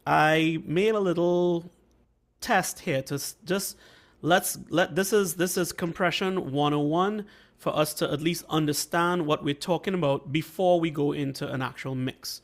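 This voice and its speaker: A man with a slightly high voice, worn and rough from a recent flu, leads an online class. He talks loosely and haltingly, often pausing to check that everyone follows, and he comes through a video call mic in a home recording room. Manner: conversational, halting, checks in with listeners. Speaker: workshop host and mixing instructor. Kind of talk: casual online teaching. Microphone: video call microphone.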